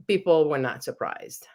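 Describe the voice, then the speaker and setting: A middle-aged woman from Hungary speaks with a Hungarian accent and a voice that sits low for a woman. She is a podcast guest sharing reflections in an earnest way. She often restarts her sentences as she searches for words. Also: takes it slowly, a little mellow. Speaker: guest, professor and author. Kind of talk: podcast interview.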